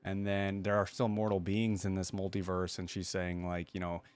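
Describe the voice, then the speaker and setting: A man's voice: low-pitched, completely flat delivery, normal speed, a little mellow, clear, resonant tone, no room sound. A man hosting a reaction video, commenting casually as he goes.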